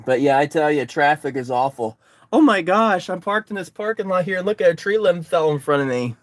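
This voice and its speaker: A man's voice: a little high, lively intonation, fairly quick, no room sound. A man chatting on a livestream from his parked car, tired and sunburned, rambling and repeating himself. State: exhausted, sunburned. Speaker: livestreamer, distance swimmer. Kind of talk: casual livestream chat reading comments.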